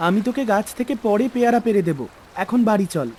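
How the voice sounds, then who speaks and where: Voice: mid-range voice, fast talker, a little hiss or hum, lightly acted. A voice actor playing a young boy in a cartoon, a caring older brother who speaks affectionately with mild scolding. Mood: gently refusing. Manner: affectionate, mildly scolding, expressive. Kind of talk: melodramatic cartoon acting.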